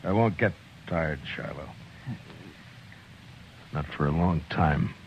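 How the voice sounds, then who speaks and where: An actor playing a stern, quietly grim middle-aged frontier marshal who also narrates, speaking in a low, measured, restrained way. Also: male voice, very noisy, heavily acted. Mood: tender. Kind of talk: 1950s radio drama acting with narration. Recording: dull vintage radio broadcast, faint music.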